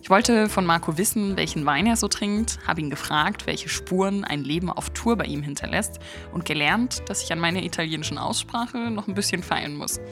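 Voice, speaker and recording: A woman hosting a podcast, reading a scripted introduction and then chatting casually with her guest, cheerful and quick to laugh. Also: on the low side, fairly bright tone, faint music behind. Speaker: podcast host.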